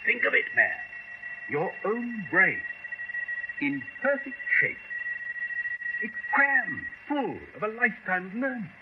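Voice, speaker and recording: An eager, animated British surgeon. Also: male voice, sits high, slow pace, pauses often, exaggerated voice. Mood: insistent. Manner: eager, insistent, clinical. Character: zealous, persuasive neurosurgeon, coolly enthusiastic about gruesome experiment. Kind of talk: 1970s radio drama acting. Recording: muffled, noisy old radio broadcast.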